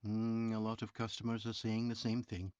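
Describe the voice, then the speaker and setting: An actor playing a polite train company representative asking survey questions in a scripted listening-test dialogue, clearly enunciated. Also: mid-range voice, completely flat delivery, moderate pace, darkish tone, dry recording, highs cut off, lightly acted. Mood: polite, inquiring.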